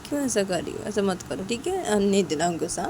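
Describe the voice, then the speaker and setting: A caring, placating young woman, played by a female storyteller in a homemade audio drama. She pleads and soothes in an everyday mid-pitched voice, repeating herself. Faint background noise sits under the voice. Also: big pitch swings, fast, natural voice. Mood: soothing. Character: placating, caring female partner, gently chiding. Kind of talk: amateur audio story dialogue.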